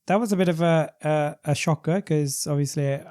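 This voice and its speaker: A man in his late thirties from Sri Lanka talks through his story as a podcast guest. His voice sits a little high, and he often restarts and repeats words before getting a thought out. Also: brisk, a little mellow, smooth. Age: 30s. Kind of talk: casual interview chat.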